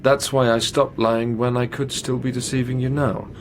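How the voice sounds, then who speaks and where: A man's voice: medium pitch, little pitch movement, normal speed, slight background noise, natural voice. An actor playing a guarded, self-absorbed, conflicted young lover in a radio drama, hesitant and confessional as he narrates and talks. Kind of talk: radio drama acting with first-person narration.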